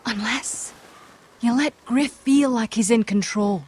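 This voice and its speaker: A woman voice acting a guarded, earnest young noblewoman in a fantasy game drama, speaking in grave, measured, formal phrases. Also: fairly high pitch, a little mellow, husky. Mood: grave, warning.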